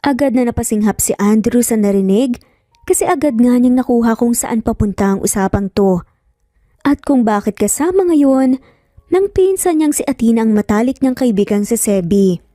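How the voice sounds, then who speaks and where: A woman's voice: on the high side, expressive, moderate pace, mellow, dry recording, clean studio sound. A woman with a Filipino accent narrating a scripted story in a dramatic style.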